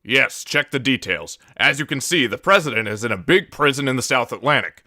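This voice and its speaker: A man's voice: mid-range voice, expressive, fairly quick, pushed and bright, dry recording, studio quality. A man doing a scripted reading of game monologues.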